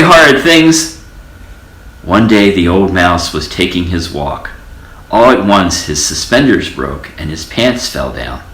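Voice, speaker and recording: An older man with a very deep voice reads a children's tale aloud in an echoey room. His delivery is animated and melodic, lingering on repeated words to stretch out the story. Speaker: storybook reader.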